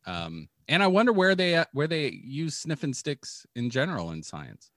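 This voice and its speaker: A man co-hosting a podcast, sharing a news story in casual chat with playful deadpan jokes. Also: a little high, moderate pace, no room sound, studio quality. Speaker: podcast co-host.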